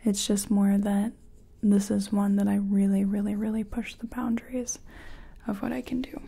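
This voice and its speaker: A woman doing soft-spoken ASMR roleplay as a makeup competition contestant, half-whispering in a hesitant, chatty, self-effacing way. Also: mid-range voice, level tone, moderate pace, vocal fry, breathy edge.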